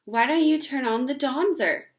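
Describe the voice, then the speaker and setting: A woman's voice: sits high, normal speed, pitched above the performer's own voice, close to an everyday voice. A woman voicing a stubborn, proud kindergarten girl in a storybook, with defiant, scornful delivery. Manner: defiant yelling and scornful retorts.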